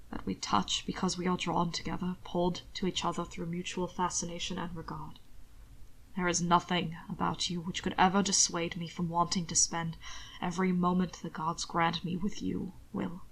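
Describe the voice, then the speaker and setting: A woman voicing a tender, formal man who speaks with solemn devotion, softly and in measured phrases. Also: on the low side, level tone, creaky, a little hiss or hum, voice pushed down, rough recording. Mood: devoted, hushed. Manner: soft, hushed, measured formal speech. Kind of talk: audiobook reading.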